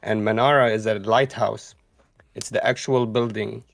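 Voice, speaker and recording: A man's voice: on the low side, fairly even intonation, moderate pace, creaky, no echo. Dry-sounding man chatting as guest commentator. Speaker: guest commentator and content creator. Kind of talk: casual chat.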